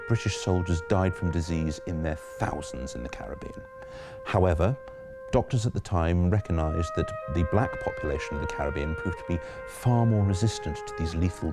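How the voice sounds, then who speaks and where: A man explaining history in an interview, knowledgeable and slightly hesitant. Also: low-pitched. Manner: knowledgeable, explanatory, occasional fillers. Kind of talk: documentary interview. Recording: music under the interview.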